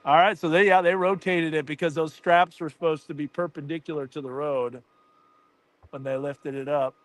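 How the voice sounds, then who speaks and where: Enthusiastic American man, slightly high voice. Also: steady tone, normal speed, dry recording. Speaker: livestream host. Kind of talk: casual live commentary chat.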